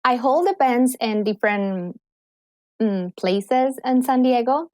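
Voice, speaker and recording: Woman, Colombian accent, enthusiastic, animated, dry recording. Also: fairly high pitch, slow, no echo. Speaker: guest, clinical psychologist and healer. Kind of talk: casual podcast conversation.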